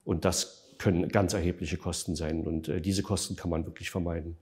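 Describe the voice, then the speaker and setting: A man with a low, dark and husky voice answers interview questions. He explains facts and figures in a steady, measured way, pausing often on filler sounds. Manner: measured and factual, frequent hesitation fillers. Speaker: interview guest, developer of an online tool.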